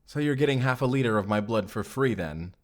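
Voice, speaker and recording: A man playing a brooding, hot-tempered Spanish vampire slayer in an audio drama, gruff and curt. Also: medium pitch, lively intonation, fairly quick, natural voice. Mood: grim, skeptical. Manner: gruff, curt, easily angered. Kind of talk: cinematic audio roleplay acting.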